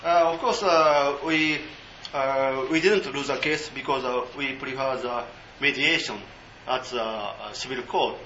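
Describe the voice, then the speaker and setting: Guy explaining stuff at a meeting, lots of uh, bright voice, echoey dull room. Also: mid-range voice, a little hiss or hum. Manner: halting, many fillers, non-native English. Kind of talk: informal seminar talk with questions.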